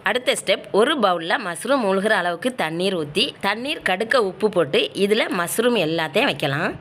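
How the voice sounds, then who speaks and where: A woman's voice: mid-range voice, lively intonation, brisk, a bit bright, slight background noise. A woman with a southern Tamil Nadu accent, narrating recipe steps as a home cook in a plain, colloquial, instructional way. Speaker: home cook and cooking show host.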